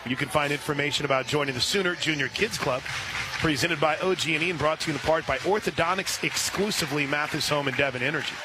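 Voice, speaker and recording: A man calling live softball play-by-play as a radio announcer, reading sponsor lines between pitches. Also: fast, noisy background. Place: outdoor ballpark during a live game. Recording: loud outdoor stadium ambience. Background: loud stadium ambience.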